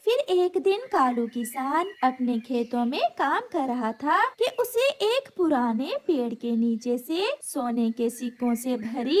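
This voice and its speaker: A woman reads a scripted cartoon tale as narrator. Her voice is high, with lively rises and falls. She takes her time over the sentences, over faint background noise.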